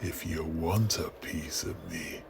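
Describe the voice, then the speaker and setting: A man voicing a gruff, belligerent berserker in a fantasy story, taunting in rough dialect with a gravelly voice. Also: extremely low pitch, takes it slowly, a little hiss or hum, heavily acted.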